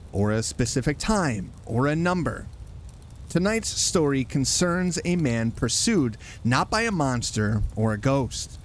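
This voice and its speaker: A man's voice: mid-range voice, slight background noise. A man hosting, reading a scripted campfire-style introduction to a horror story. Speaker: host.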